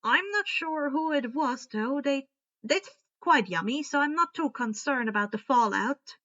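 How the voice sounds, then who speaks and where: Lady doing chill young creature guy in an audiobook, halting, bright, bit muffled. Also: high-pitched, lively intonation, full character voice. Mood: nonchalant. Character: nonchalant young male creature with deadly appetite.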